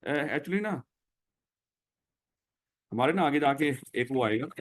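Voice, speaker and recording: A man's voice: on the high side, lively intonation, takes it slowly. Pakistani man lecturing a class. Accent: Pakistani Urdu. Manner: explains by asking the class questions. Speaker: teacher.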